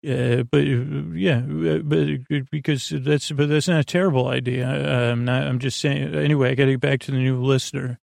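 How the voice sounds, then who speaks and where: A middle-aged man with a gravelly voice hosting a bedtime podcast, talking in a halting, meandering monologue that keeps interrupting itself. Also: mid-range voice, fairly even intonation, darkish tone, dry recording, clean studio sound. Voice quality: gravelly, creaky. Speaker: podcast host.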